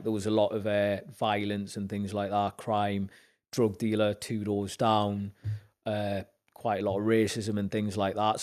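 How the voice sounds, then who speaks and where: Low-voiced Newcastle man telling stories. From Zambia. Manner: animated storyteller acting out quoted dialogue, lifts in pitch when excited. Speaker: podcast guest, actor and musician. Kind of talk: casual storytelling chat.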